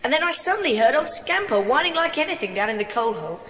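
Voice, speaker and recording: A woman's voice: high-pitched, very animated, fast, a bit bright. A woman playing an eager, plucky schoolboy in a dramatised children's audiobook, telling things earnestly and breathlessly. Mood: eager, explaining. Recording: long echoing reverb.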